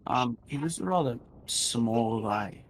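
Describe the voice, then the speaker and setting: Halting, rambling man over clear noise. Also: medium pitch, lively intonation. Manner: rambling, halting, repeats phrases. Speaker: storyteller in a gaming video. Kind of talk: casual improvised storytelling while gaming.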